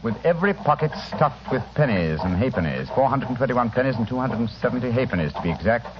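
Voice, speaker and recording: An actor playing a shrewd, courteous gentleman detective with a British accent, precise and analytical, in a vintage radio drama. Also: medium pitch, expressive, fast, lightly acted. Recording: noisy, muffled old radio recording.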